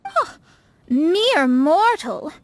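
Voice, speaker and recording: A woman's voice: very high-pitched, very animated, extremely slow, dry recording, heavily acted. A woman voicing a proud, self-assured young astrologist mage in a video game, with a haughty, theatrical delivery. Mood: indignant. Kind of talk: video game character acting.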